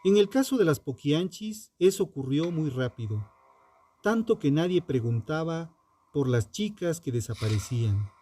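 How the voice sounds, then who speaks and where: A man reading a scripted true crime narration in a solemn, dramatic manner, in short phrases with emphatic pauses. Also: fairly high pitch, lively intonation, warm tone, soft background music.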